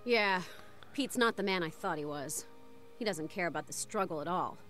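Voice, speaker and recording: A woman's voice: lowish voice, expressive, normal speed, fairly bright tone, soft background music. An actress playing a wary, disillusioned young woman from a street gang in a video game.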